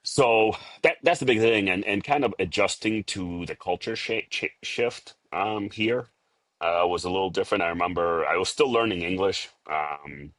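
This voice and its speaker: Interview guest: man, forties, from Liberia, Boston accent, very low voice, dry close sound, halting with fillers. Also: fairly bright tone, no echo. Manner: halting, many fillers and restarts. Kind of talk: casual interview talk.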